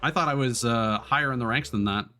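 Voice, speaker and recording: A man's voice: medium pitch, fast talker, pushed and bright. A man doing casual live gaming commentary, thinking aloud and chatting to his viewers. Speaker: livestreamer, gamer.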